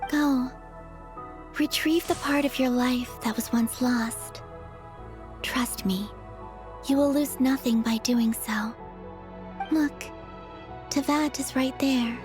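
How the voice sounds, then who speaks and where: An actress playing a serene, quietly curious young moon maiden in a video game, with a soft, calm, unhurried delivery. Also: female voice, sits high. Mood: gentle reassurance. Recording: music behind the voice.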